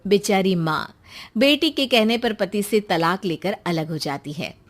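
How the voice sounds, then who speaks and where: A woman's voice: medium pitch, lively intonation, unhurried. A woman narrating a scripted moral story as its storyteller.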